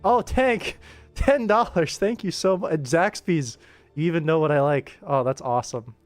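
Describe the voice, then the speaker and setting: A man's voice: a little high, moderate pace. American man streaming casually over music. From United States. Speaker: livestreamer and animator. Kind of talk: casual livestream chat while working.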